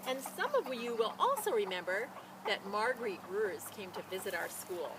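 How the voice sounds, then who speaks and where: A woman speaking as a school storyteller outdoors, explaining and reading in a simple, animated way for children. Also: mid-range voice, normal speed. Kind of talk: casual introduction and expressive children's read-aloud. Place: outdoors beside a chicken coop. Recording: noisy outdoor recording with animal sounds.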